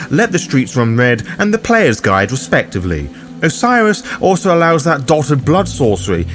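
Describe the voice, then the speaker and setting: A man hosting a game guide, reading scripted narration in an instructive way that speaks straight to the listener. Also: mid-range voice. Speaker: host of a roleplaying game guide.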